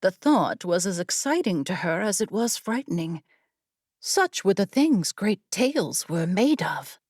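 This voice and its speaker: Slow, measured female audiobook narrator. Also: mid-range voice, big pitch swings, a little mellow, breathy edge, no echo, clean studio sound. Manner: measured, deliberate storytelling. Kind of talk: scripted fantasy fiction narration.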